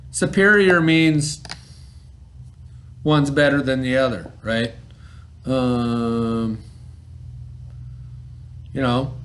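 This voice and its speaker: Guy teacher voice, a bit on the high side, explaining stuff to a kid real informal, keeps checking they get it. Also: fairly bright tone, a little hiss or hum. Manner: conversational, explanatory, checking understanding with questions. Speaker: schoolteacher. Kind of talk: informal read-aloud and vocabulary lesson for a child.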